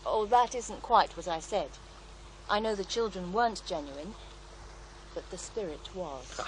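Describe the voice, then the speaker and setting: An actress playing a serene, devout faith healer in a radio drama, reflective and gently assured as she tells her story. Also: fairly low pitch, lively intonation, a little slow, slight background noise, rough recording. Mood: serene conviction. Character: serene devout female faith healer, former child therapist, wistful. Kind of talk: naturalistic radio drama acting.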